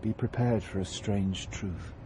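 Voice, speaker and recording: A man's voice: fairly low pitch, steady tone, a little slow, music in the background, lightly acted. A man playing a grave, knowing guide through hell in an audio drama, speaking portentously. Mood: solemn.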